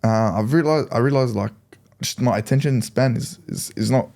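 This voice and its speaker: Easygoing young courier with a mellow voice. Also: male voice, medium pitch, gravelly. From Lebanon, raised in Australia. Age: 20s. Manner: easygoing, laughs along, halting. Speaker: courier, guest. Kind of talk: casual interview answers.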